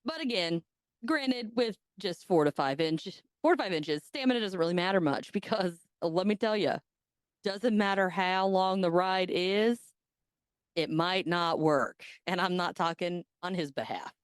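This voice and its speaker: A woman's voice: on the low side, a little slow, smooth, no room sound, studio quality. A woman co-hosting a podcast, telling a story in casual chat and cracking crude jokes with a laugh. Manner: bawdy, joking, laughs often. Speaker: podcast co-host.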